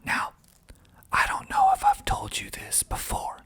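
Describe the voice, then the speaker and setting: A man from the southern United States whispering in a breathy, deliberate, conversational way as an ASMR channel host. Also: a bit bright. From southern United States (Georgia). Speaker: filmmaker hosting his own channel.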